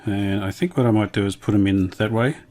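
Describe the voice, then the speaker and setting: Low-voiced man casually talking through hands-on work. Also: warm tone. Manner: unhurried, matter-of-fact, thinking aloud. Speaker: hobbyist radio restorer presenting his video. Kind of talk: casual hands-on workshop demonstration.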